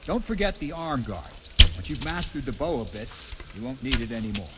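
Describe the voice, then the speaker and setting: An actor playing a stern, gruff medieval captain who instructs a young recruit in a video game. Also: male voice, mid-range voice, very animated, a little fast, heavy background noise, lightly acted. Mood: patient, instructing.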